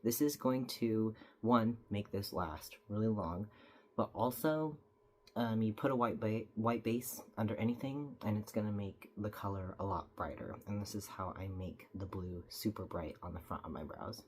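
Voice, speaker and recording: A woman's voice: rumbling low, normal speed, a little mellow, slight background noise. A young woman, slightly stuffy from a cold, chatting casually as she explains each step of a makeup tutorial.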